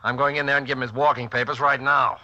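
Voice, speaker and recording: A man's voice: mid-range voice, level tone, fast, bright, forceful tone, lo-fi sound, faint music behind. An actor playing a blunt, hot-tempered and protective family man in a dramatic 1940s radio suspense style. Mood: wary, firm. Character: hot-tempered, protective husband and father narrating his ordeal. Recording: old 1940s radio recording.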